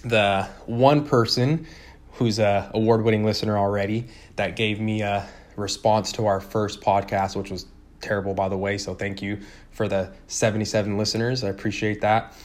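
Low, flat-voiced young man. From California, born in Puerto Rico. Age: young adult. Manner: informal, slangy, hesitant with frequent pauses. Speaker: podcast host. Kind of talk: casual solo podcast monologue.

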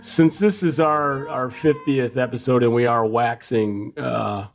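A man's voice: mid-range voice, steady tone, a little slow, creaky, soft background music. A man co-hosting a casual podcast from home, talking in a rambling way with frequent restarts and fillers. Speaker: podcast co-host.

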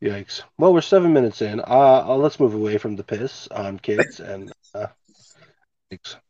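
A man's voice: fairly low pitch, lively intonation, measured pace, dark tone, dry recording, lo-fi sound. A man hosting a casual podcast from home with a stuffy nose, laid-back and swearing casually. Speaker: podcast host. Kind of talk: casual chat. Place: home, recording remotely. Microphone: remote home mic.

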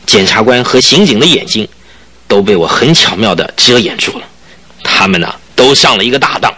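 Cunning, sharp-voiced smug villain. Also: male voice, fairly low pitch, lively intonation, normal speed, breathy edge, no room sound, exaggerated voice. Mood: smug, scheming. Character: cunning, smug male murderer boasting of his scheme. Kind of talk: Mandarin radio drama acting.

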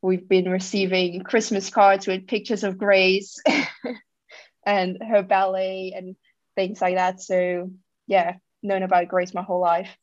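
A woman from the Netherlands, a guest chatting casually in an interview and pausing often on "um". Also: mid-range voice, little pitch movement, darkish tone, no echo, dull audio. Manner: thoughtful, with frequent "um" pauses. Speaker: guest, company founder.

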